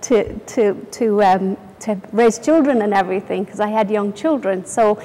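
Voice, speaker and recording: A middle-aged woman with an Irish accent, a company founder telling her story in a relaxed interview with plenty of restarts. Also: medium pitch, normal speed. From Ireland or UK. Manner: chatty, self-deprecating, many restarts. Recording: reverberant room.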